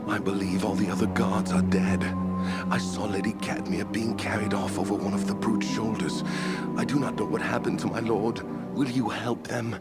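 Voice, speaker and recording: A wounded game guard acted by a man talks in a lowish, strained voice, earnest and formal. Loud music plays behind him. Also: natural voice. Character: weary, wounded male guard, earnest and grateful. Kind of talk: video game dialogue acting.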